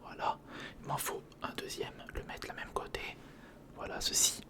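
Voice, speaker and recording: An ASMR host whispering breathily and close to the mic, thinking aloud to the listener through a step-by-step build. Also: moderate pace. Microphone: close recorder or studio condenser microphone.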